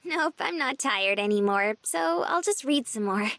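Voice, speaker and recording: A woman voicing an excitable, bubbly, apologetic young woman in a visual novel game. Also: on the high side, a little slow, dry recording. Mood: upbeat.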